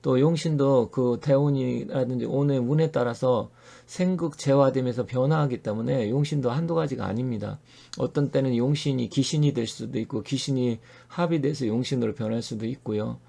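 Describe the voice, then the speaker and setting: A middle-aged man hosting his own fortune-telling channel, giving an earnest, opinionated monologue full of pauses and fillers. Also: medium pitch, vocal fry, mellow. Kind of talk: solo monologue to camera.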